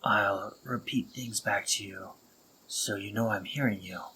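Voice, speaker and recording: A young man playing a remorseful, protective male spider monster in an audio roleplay, talking haltingly with stammers and soft whispers. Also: fairly low pitch, a little hiss or hum, rough recording. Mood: soothing.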